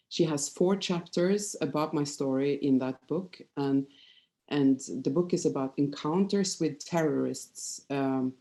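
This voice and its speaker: Casting: woman, fifties, Norwegian accent, low-pitched relaxed voice, psychologist presenting personal material haltingly and candidly. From Norway. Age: 50s. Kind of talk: informal conference presentation with personal storytelling.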